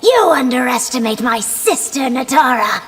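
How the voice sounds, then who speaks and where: A woman's voice: high-pitched, sing-song, moderate pace, noisy background. A woman voicing a hot-headed young warrior empress who speaks with snarling vehemence in a fighting video game. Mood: furious. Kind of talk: video game character dialogue. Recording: long reverb, music behind.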